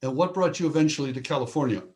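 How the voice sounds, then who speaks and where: A man's voice: fairly high pitch, very fast, darkish tone. A man hosting a video call, introducing guests with eager praise and asking questions. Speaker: host.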